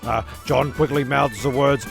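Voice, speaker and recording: A man narrates a comic script in a wry tone. His mid-pitched voice is extremely gravelly and fried, with music playing clearly behind it. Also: steady tone, a little slow.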